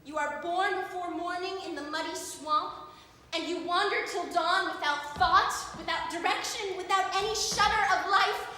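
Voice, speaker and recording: A woman on stage playing a mournful universal soul in a declamatory, drawn-out theatrical monologue. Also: extremely high pitch, pushed and bright. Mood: desolate, then fervent, rising. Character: young actress solemnly playing the lone universal soul. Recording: echoey reverberant theatre, faint hiss.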